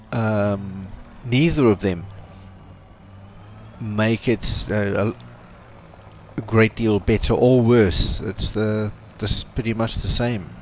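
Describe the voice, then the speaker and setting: A man with a South African accent, chatting casually while streaming a game, rambling and correcting himself as he goes. His mic sounds dull and lo-fi, with faint hiss. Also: medium pitch. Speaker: streamer host. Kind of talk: casual gaming chat.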